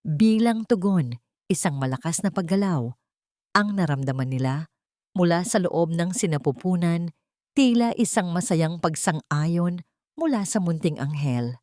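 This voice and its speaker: A woman's voice: on the low side, animated, a little slow, a little mellow, no echo, clean studio sound. A woman with a Filipino accent narrating a scripted, dramatic audio story.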